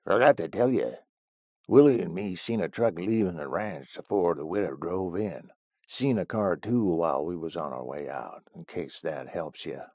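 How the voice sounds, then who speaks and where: A man voicing a grumpy old ranch hand with a slow, gruff rural drawl. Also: sits low, a little mellow, no echo, clean studio sound. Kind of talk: audiobook character voice.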